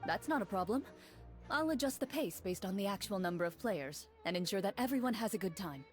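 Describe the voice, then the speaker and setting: A stern female duelist, acted by a woman, speaks in a calm, measured, formal way at an ordinary pitch. Game music sits faintly underneath. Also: close to an everyday voice. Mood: composed. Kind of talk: video game dialogue.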